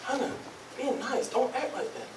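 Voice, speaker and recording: A man playing a smooth-talking, placating lover in a staged play, speaking coaxing Southern folk dialect. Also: very high-pitched, lively intonation, normal speed. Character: smooth-talking, placating male sweetheart, easily hurt. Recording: roomy, distant mic, noisy.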